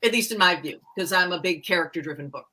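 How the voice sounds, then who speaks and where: A woman's voice: lowish voice, fast, pushed and bright. A middle-aged woman, a romance author and co-host, chatting candidly and a little self-deprecatingly about writing books. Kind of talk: casual book chat.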